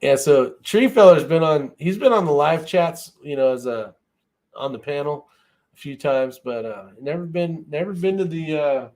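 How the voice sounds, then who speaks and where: A man with a slightly high voice hosts an informal live chat. He rambles loosely and easily, in the casual style of someone chatting with viewers. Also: expressive, normal speed, a little mellow. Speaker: host of a shooting live chat show.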